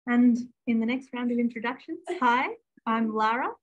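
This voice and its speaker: A woman's voice: on the high side, fairly even intonation, moderate pace, dull audio. A young woman with an Australian accent, a postdoc introducing herself in a chatty, upbeat way on a video call. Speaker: astronomy postdoc.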